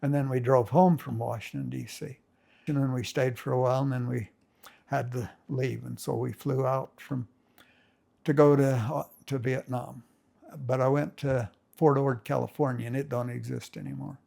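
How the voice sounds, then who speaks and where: A man's voice: medium pitch, steady tone, moderate pace, vocal fry, mellow. An older man casually reminiscing as a family storyteller, rambling with folksy grammar and many restarts.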